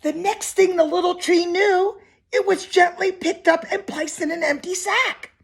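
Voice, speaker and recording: A woman's voice: very high voice, fairly even intonation, a little slow. A woman reading a picture book aloud expressively to young children, with simple asides and questions to her listeners.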